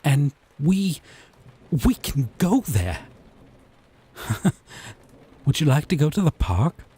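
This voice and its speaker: A man playing a gentle, obsessive, possessive lover in an intimate romantic roleplay, speaking haltingly and tenderly. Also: fairly high pitch, big pitch swings, full character voice. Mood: eager, affectionate. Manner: slow, halting, tender, breathy pauses. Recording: rain and thunder ambience behind. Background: rain, thunderstorm.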